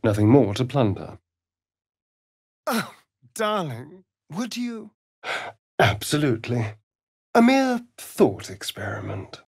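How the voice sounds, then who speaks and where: An actor playing a vain, sardonic vampire rogue in a fantasy video game, with an arch, drawling, theatrical delivery. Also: male voice, mid-range voice, huge pitch swings, takes it slowly, long pauses, breathy edge, dry recording, clean studio sound, full character voice. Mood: teasing.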